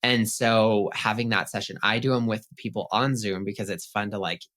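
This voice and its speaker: A chatty, upbeat man speaks casually as a podcast guest. His voice sits somewhat low yet sounds bright and forward. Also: fairly even intonation, moderate pace. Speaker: pet psychic guest.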